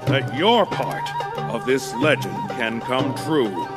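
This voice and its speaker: Theatrical old skeletal king, swooping pitch. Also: very animated, slow pace, over loud music. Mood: commanding, ominous. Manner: theatrical, formal, ponderous phrasing. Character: grand old skeletal king, eerie, courtly and grave. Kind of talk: video game cutscene acting.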